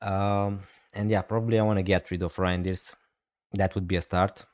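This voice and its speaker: Relaxed man streaming live. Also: sits low, normal speed, no room sound. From Romania. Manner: relaxed, hesitant, many fillers. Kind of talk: casual live stream chat.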